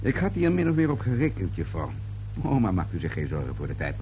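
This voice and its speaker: An actor playing a courteous, shrewd police inspector in a radio play, with a polite, understated, probing manner. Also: male voice, deep voice, huge pitch swings, quick pace, mellow. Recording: noisy old radio drama recording.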